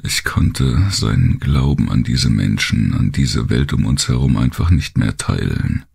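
Very deep, gravelly male audiobook narrator. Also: animated, measured pace, a little mellow. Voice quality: gravelly, husky. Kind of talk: dramatic first-person thriller audiobook narration.

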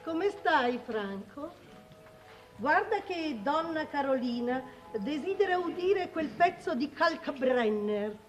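A woman's voice: sits high, lively intonation, slow, soft background music, low-quality recording. An older actress playing a haughty, formal grandmother marchioness in a 1950s Italian television period drama. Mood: imperious. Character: haughty, aristocratic old grandmother marchioness hosting a dinner. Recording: old 1950s television soundtrack.

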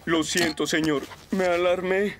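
A man voicing an excitable, clumsy young firefighter in a Latin American Spanish cartoon dub, flustered and exclamatory. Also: high voice, unhurried. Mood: sheepish, worried. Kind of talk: animated children's series dub.

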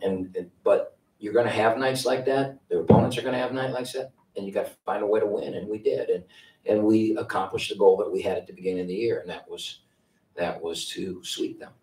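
A blunt older coach with a very low voice rambles through his answers, restarting and repeating words. He sits at a table mic some distance away in an echoey press room. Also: a little mellow. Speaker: head basketball coach. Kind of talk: post-game press conference answers. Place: press conference room with sponsor backdrop. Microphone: table mic, heard from a distance.

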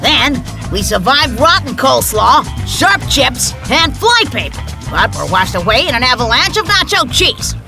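Casting: nervous know-it-all cartoon broccoli, high-pitched, extremely animated, wry. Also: normal speed, vocal fry, music in the background, full character voice. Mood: wry, explaining. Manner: fussy, wry, explanatory. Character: anxious know-it-all broccoli, cautious, dry-witted sidekick. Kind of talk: cartoon character acting.

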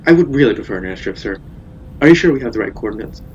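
A man's voice: mid-range voice, lively intonation, racing, darkish tone, highs cut off, a little hiss or hum, natural voice. A man playing a put-upon, dryly polite pilot in a radio play. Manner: dry, deferential.

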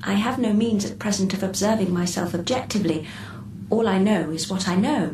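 A woman's voice: medium pitch, a little fast, a little mellow, lightly acted. A woman playing a poised, enigmatic artificial intelligence in a radio drama, with formal and precise phrasing. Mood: measured, precise. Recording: echoey, distant voice with background noise.

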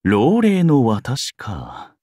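An actor playing a cocky young genius schemer in a game, with a wry, theatrical manner. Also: male voice, mid-range voice, huge pitch swings, very slow, a little mellow, no room sound, clean studio sound, full character voice. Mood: bemused. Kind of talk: game character acting.

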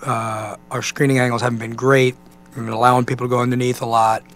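An American man in his forties, a basketball coach answering press questions in a halting, conversational way, often saying "you know.". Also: mid-range voice, flat delivery, fairly bright tone, soft background music. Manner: halting, thinking aloud, peppered with 'you know'. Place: indoor press conference room. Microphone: microphone on a stand at a table.

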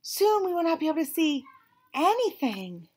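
A woman voicing an impatient, melodramatic young male elephant in a storybook, whining and wailing with drawn-out words. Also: very high-pitched, big pitch swings, a little mellow, voice pushed up. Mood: despairing. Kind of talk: storybook read-aloud character.

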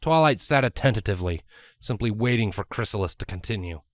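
A man narrates a story in audiobook style. His voice sits slightly low, and the recording is dry and close with no room sound. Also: animated, a little fast, no room sound.

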